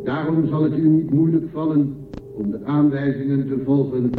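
An actor playing a programmed machine guardian of an ancient library in a science fiction radio drama, speaking formally and in measured phrases. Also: male voice, medium pitch, fairly even intonation, natural voice. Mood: impassive. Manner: formal, measured, matter-of-fact. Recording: echoey, distant, narrow-band, music behind.

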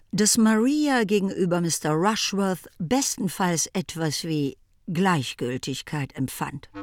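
Composed woman narrator over music. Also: medium pitch. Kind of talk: scripted literary narration.

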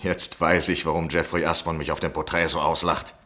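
Restless gentleman biographer, deep husky voice, brooding, evasive, self-justifying, vintage 1960s radio acting. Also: flat delivery, brisk, full character voice. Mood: troubled, obsessive. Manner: brooding, questioning, hesitant. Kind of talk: 1960s German radio play acting and narration.

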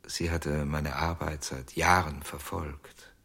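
An actor playing an anguished, jealous ventriloquist in a German radio crime drama, confessing haltingly in a husky voice that sinks to near-whispers. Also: male voice, a bass voice, fairly even intonation, sharp tone, exaggerated voice. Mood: reluctant, confessing.

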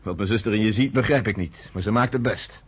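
A man's voice: fairly low pitch, fast talker, breathy edge. A man in his thirties playing a blunt, commanding underground leader in a radio play. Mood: bitter. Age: 30s.

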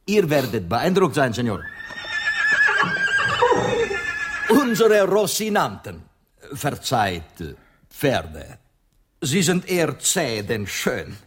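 A man's voice: fairly high pitch, sing-song, takes it slowly, noisy background. A man acting in a radio play as a courteous, proud Spanish guide escorting foreign guests. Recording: music behind the voice. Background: music.

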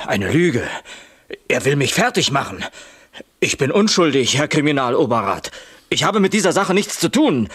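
An actor playing a cowardly, excitable man protesting to save himself in a radio drama, husky-voiced. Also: a little high, moderate pace, heavily acted. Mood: panicked pleading. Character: cowardly, self-protecting male colleague of the rebel.

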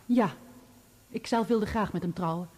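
A composed, loyal woman on the witness stand. Her voice is soft-edged and mellow, and she answers in measured, formal sentences, in radio drama style. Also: medium pitch, husky. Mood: wistful, devoted. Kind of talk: Dutch radio play acting.

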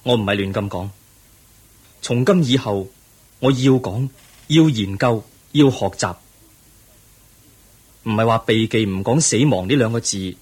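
An actor playing an earnest young crown prince in a Cantonese radio drama, speaking in a solemn, formal and measured manner. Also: male voice, on the low side, darkish tone, husky. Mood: solemn, insistent.

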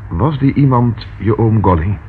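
An actor in a radio play as a police inspector, probing and direct, curt but caring. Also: male voice, on the low side, lively intonation, a little slow. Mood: firm, probing. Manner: probing, direct, sometimes snappy. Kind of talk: Dutch radio play acting. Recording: dull lo-fi old radio recording, faint noise.

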